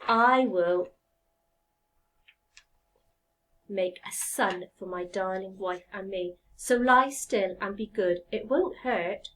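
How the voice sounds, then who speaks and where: A woman's voice: medium pitch, takes it slowly, fairly bright tone. A woman voicing a kindly, nervous old woodcarver who speaks eagerly and fondly in a raised voice. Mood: gentle. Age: older.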